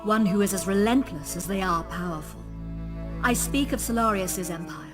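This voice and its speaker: A woman playing a solemn, regal fairy queen in a video game, speaking with grave, measured formality. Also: mid-range voice, close to an everyday voice. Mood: grave, reluctant. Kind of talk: video game character acting. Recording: loud ethereal music behind.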